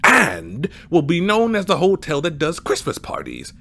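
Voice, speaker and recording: A man's voice: a little high, huge pitch swings, moderate pace, creaky, bright, forceful tone, slight background noise, full character voice. A man playing a showy, money-hungry hotel boss in a comedy audio drama, with a wheedling salesman's patter.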